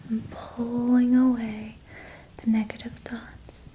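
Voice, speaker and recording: A young woman whispering a gentle ASMR reiki relaxation in soft, slow, repetitive phrases. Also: a little high, level tone, a little hiss or hum, low-quality recording. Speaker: ASMR creator.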